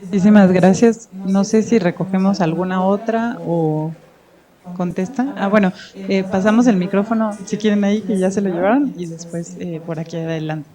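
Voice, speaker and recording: A woman moderating a panel question session at a conference, speaking politely with pauses and fillers. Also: mid-range voice, a crowd in the background. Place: university conference room with audience. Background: audience in the room.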